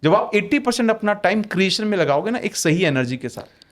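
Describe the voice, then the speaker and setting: A man with a fairly high voice talks as a podcast guest, his pitch swinging widely as he explains. He drives his points home with rhetorical questions and slips English phrases into his sentences. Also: lively intonation, fairly quick. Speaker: podcast guest, manifestation author. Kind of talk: explanatory conversational talk.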